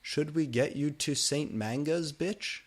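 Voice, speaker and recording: An irritable, gloomy goth woman teacher, put on by a man reading aloud, in a raised pitch. She is theatrical, a little whiny and swears freely as the story goes on. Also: takes it slowly. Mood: upset, pleading.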